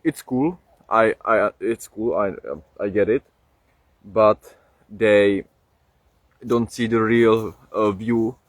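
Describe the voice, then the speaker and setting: A young man speaking English as a second language with a Czech accent, talking casually on a livestream, halting with many fillers and restarts. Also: lowish voice, no room sound. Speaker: livestream host.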